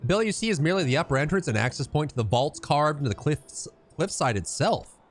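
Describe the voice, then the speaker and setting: A man's voice: a little high, animated, quick pace, soft background music, close to an everyday voice. A man voicing a proud, learned guide from a fantasy game, explaining in a grand, formal manner. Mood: lecturing.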